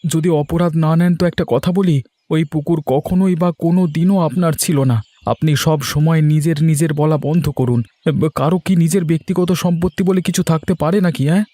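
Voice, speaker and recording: A man's voice: fairly high pitch, fairly even intonation, a little fast, dark tone, dry recording, natural voice. A man voicing a defiant, wronged villager in a cartoon, protesting indignantly. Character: defiant wronged male villager defending his pond. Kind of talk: cartoon dialogue.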